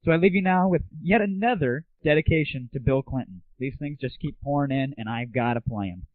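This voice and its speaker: A man's voice: brisk, dry recording. An American man hosting a casual, opinionated audio show in an irreverent, slangy way. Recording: muffled, tinny low-fidelity 1990s internet audio.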